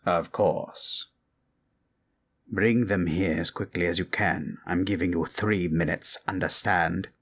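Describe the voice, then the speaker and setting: A grave sorcerer, played by a man, speaks very low and slowly, with a husky rasp. He is solemn and commanding, a dark figure turned to good in a fantasy ASMR roleplay. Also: fairly even intonation, full character voice.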